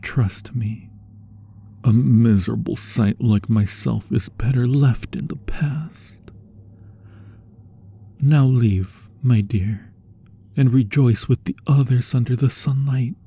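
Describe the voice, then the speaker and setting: A sorrowful undead vampire played by a man murmurs through an intimate ASMR drama. His voice is very husky and breathy, with a gravelly creak and a soft, dark tone. He pleads formally and tenderly, often sinking into whispers. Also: medium pitch, slow pace, heavily acted. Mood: urgent, sad. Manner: formal, pleading, melancholy phrasing. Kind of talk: ASMR roleplay, dramatic monologue.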